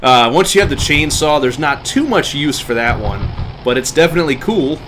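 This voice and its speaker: A man's voice: on the high side, expressive, normal speed, fairly bright tone, rough recording. A man giving casual live commentary as he streams a game. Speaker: game livestreamer. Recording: game engine noise behind voice. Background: game vehicle sounds.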